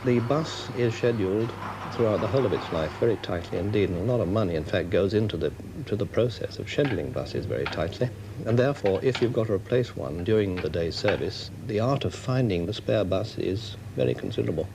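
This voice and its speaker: A man's voice: on the low side, lively intonation, fairly quick, creaky, background noise. A British man giving considered, wordy and formal answers as an engineering director in an interview.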